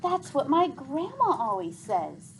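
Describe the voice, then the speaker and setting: A young boy hero from a storybook, voiced by a woman reading aloud. He is irritated but kind, blurting questions and exclamations. The pitch is highish and jumps about, over audible background noise. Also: huge pitch swings, slow pace, a bit bright, exaggerated voice. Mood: surprised.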